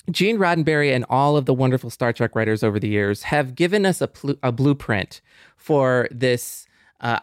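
A man's voice: mid-range voice, dry recording, clean studio sound. A man co-hosting a discussion podcast, talking in an earnest, explanatory, conversational way. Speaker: podcast co-host. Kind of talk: conversational discussion with scripted sign-off.

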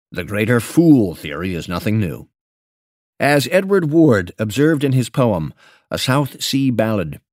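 A man reading a written piece aloud as a narrator, measured and articulate, with expressive emphasis on key phrases. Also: medium pitch, dry recording, clean studio sound. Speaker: narrator reading an investment memo. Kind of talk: scripted audiobook-style narration.

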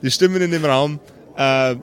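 Higher-pitched man narrating as game master. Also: normal speed. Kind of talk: improvised tabletop game narration.

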